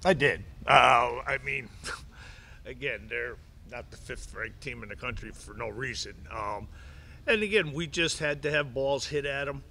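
A man's voice: mid-range voice, a little slow, creaky, pushed and bright, slight background noise. A middle-aged man, a head baseball coach, answering press conference questions at a table mic, often restarting and repeating words. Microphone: table mic in front of him.

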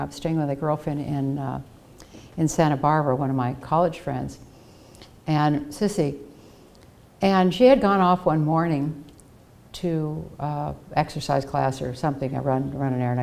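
A woman's voice: sits low, creaky, darkish tone. An older woman giving a reflective personal talk at a podium in a library auditorium, telling stories in an earnest way, on a headset mic. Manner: earnest, reflective storyteller, acts out quoted voices. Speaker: guest speaker.

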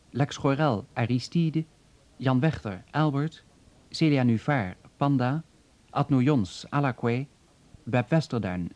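A man's voice: mid-range voice, darkish tone. A man working as a radio announcer, reading scripted credits in a formal style.

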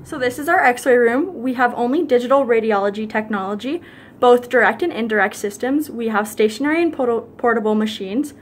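A woman's voice: on the high side, brisk, slight background noise. A young woman student walking through a veterinary clinic, explaining each room in a plain, matter-of-fact way. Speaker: student tour guide. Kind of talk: guided facility tour. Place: veterinary teaching clinic rooms.

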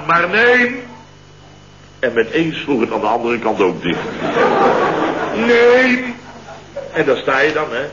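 An actor playing a flustered, indignant man who tells a farcical tale of woe in halting, repetitive bursts, in a radio comedy. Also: medium pitch. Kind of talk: comic radio play dialogue. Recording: dull, noisy early-1970s radio recording.

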